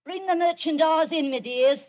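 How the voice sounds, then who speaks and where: Sly, fawning old crone played by an actress, muffled. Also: female voice, high-pitched, normal speed, natural voice. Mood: gloating, sly. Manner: fawning, singsong endearments. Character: sly, wheedling old body-snatcher woman, falsely sweet. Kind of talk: 1940s radio acting. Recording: dull 1940s radio recording.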